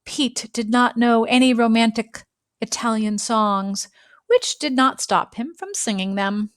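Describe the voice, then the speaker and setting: A woman reading a children's picture book aloud with expressive, dramatic phrasing and deliberate pauses. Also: a little high, dry recording, clean studio sound. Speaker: storybook reader.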